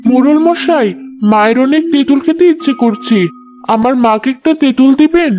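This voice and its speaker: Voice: sits high, brisk, natural voice. A performer voicing a poor, dutiful young village daughter in a cartoon story, earnest and deferential, over music. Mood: pleading. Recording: music behind the voice.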